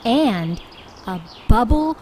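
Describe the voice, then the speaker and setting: A woman reading a storybook aloud for young children in an expressive, deliberate way, drawing out key words. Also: fairly high pitch, background noise. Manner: expressive, deliberate, with drawn-out emphasis. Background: background music.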